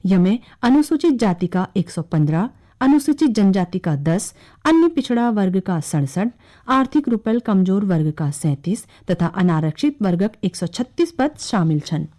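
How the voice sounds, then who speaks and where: A woman's voice: medium pitch, very animated, normal speed, warm tone. A woman with a Kumaoni accent reading a scripted regional radio news bulletin.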